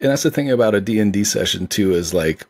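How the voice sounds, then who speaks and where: Lowish raspy guy chatting movies on a podcast, into it and agreeable. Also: expressive, fast talker, darkish tone. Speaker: podcast co-host discussing films. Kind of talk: casual film discussion chat.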